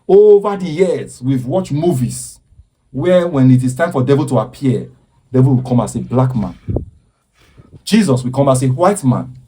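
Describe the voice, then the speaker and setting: A man's voice: mid-range voice, lively intonation, normal speed, mellow, slight background noise, poor audio. A man giving impassioned social commentary in an earnest, preaching way, often stopping to repeat himself.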